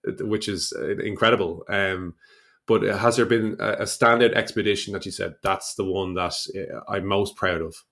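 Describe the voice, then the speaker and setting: Halting, lower-pitched Irish man. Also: vocal fry, a bit bright. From Ireland. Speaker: podcast host, interviewer. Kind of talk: casual interview chat.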